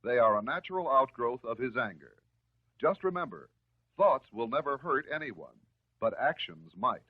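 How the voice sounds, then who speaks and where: An American man delivering scripted narration in the style of a 1950s educational film, measured, instructive and reassuring. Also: medium pitch, very animated, breathy edge. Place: voice-over recorded for film. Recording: muffled, narrow-band 1950s film soundtrack.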